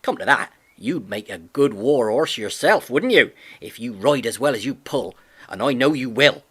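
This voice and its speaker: A man's voice: mid-range voice, lively intonation, moderate pace, fairly bright tone, slightly rough. A man reading an audiobook, voicing an earnest, devoted fifteen-year-old farm boy with boyish enthusiasm. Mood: dreamy. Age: 15 (figure). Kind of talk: audiobook character voice.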